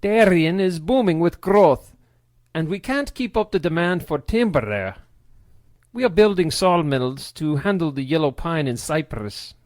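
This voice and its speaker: A man voicing a big, boisterous old Scottish man with a booming, hearty Scottish accent. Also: animated, measured pace, darkish tone, no room sound, clean studio sound.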